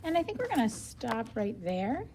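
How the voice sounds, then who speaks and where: A woman's voice: fairly high pitch, big pitch swings, moderate pace, noisy background. A woman reading a children's nonfiction book aloud like a teacher, explaining as she goes for young learners. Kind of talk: read-aloud of nonfiction picture book with brief explanatory asides.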